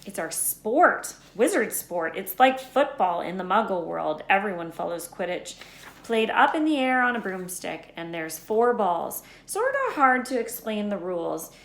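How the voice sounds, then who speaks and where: A woman voicing a gruff, big-hearted, blunt man for a children's storybook, with emphatic, folksy speech. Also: mid-range voice, very animated, a little slow. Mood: explaining. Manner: emphatic, folksy, dropped words. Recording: echoey room.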